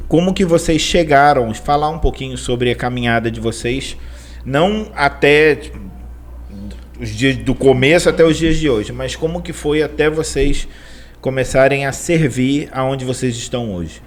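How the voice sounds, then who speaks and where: A man's voice: mid-range voice, normal speed. A Brazilian man co-hosting a podcast, chatty and laughing easily as he introduces his guests. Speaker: podcast co-host. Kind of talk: casual interview.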